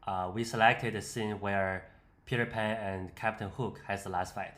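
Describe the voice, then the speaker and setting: A man presenting an unscripted software walkthrough, explaining step by step in a hesitant way. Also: fairly low pitch, steady tone, moderate pace. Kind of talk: unscripted screen-demo walkthrough.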